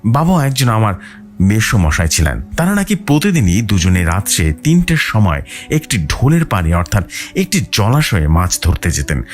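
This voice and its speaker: A man narrating a scripted horror story in a dramatic way. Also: on the low side. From West Bengal, India.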